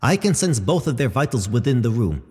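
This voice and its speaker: A man's voice: mid-range voice, very animated, a little mellow, lightly acted. Sci-fi audio drama robot, male actor: formal, analytical and unruffled, with swooping intonation, set in long reverb. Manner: formal, measured, explanatory. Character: calm analytical male robot companion, precise and unhurried. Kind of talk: scripted audio drama acting.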